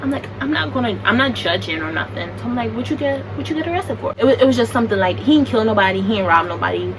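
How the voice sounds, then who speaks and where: A young American woman telling a story in a chatty, animated, slangy way. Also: fairly high pitch, quick pace. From United States. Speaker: vlogger. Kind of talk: casual storytime. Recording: distant mic with background noise.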